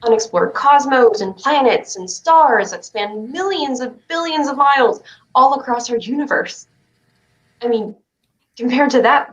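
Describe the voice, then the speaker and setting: A woman's voice: on the high side, dull audio, close to an everyday voice. A woman playing a stubborn, dreamy child who plays astronaut, in a staged play reading.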